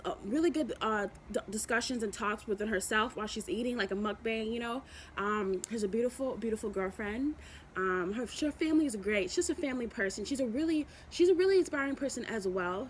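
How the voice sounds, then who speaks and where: A woman's voice: on the high side, slight background noise. A woman vlogging, chatting in a rambling, affectionate way, often repeating herself and restarting. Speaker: YouTube vlogger. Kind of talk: motivational vlog chat.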